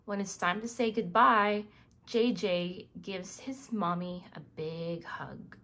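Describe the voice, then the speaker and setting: A woman reading a children's book aloud in an expressive way, speaking directly to young listeners. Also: mid-range voice, slow. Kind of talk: scripted read-aloud narration for young children.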